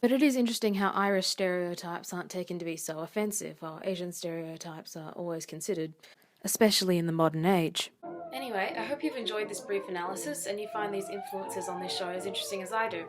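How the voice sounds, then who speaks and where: A woman's voice: on the low side, fast talker. Young woman narrating a scripted video essay. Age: young adult. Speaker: student presenter.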